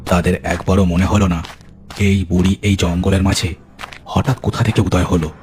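A man's voice: low-pitched, little pitch movement, moderate pace, darkish tone, a little hiss or hum. A man narrating a scripted ghost story for a cartoon as its storyteller. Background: music.

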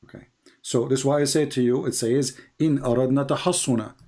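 A man's voice: steady tone, brisk, warm tone. A man whose first language is Arabic, hosting and debating a caller, emphatic and repeating phrases for stress. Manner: emphatic, rises high when pressing questions. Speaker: host.

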